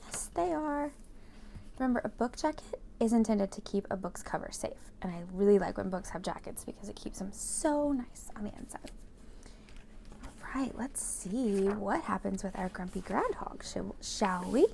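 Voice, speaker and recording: An American woman hosting a children's read-aloud, explaining and reading in an animated way, speaking directly to young listeners. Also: mid-range voice, moderate pace, creaky, a little hiss or hum.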